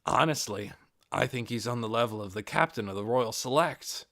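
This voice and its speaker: A man's voice: medium pitch, normal speed, a bit bright, dry recording, clean studio sound, close to an everyday voice. A man voicing an earnest, modest young male adventurer leader in an audiobook, explaining things carefully.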